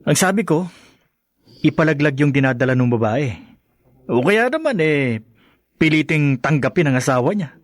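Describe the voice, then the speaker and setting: A man's voice: a little high, lively intonation, moderate pace, long pauses, dry recording, clean studio sound, lightly acted. A man playing a smug, dismissive schemer in a radio drama. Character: smug scheming husband, Alberto's friend.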